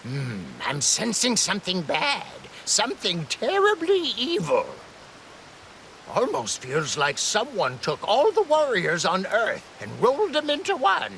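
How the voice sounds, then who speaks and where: A man's voice: high voice, huge pitch swings, measured pace, creaky, a bit bright, slight background noise, full character voice, poor audio. A man voicing a fussy elderly god in an anime game, with a folksy, dramatic way of talking. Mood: grave, worried. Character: fussy, elderly male god watching over the world. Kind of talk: English anime game dub acting.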